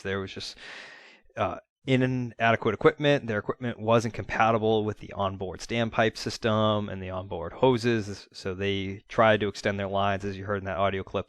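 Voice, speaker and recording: A man giving an informal spoken debrief in an earnest, plain-spoken, halting manner, like a trainer talking to colleagues. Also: lowish voice, dry recording. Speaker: fire-rescue commentator and trainer.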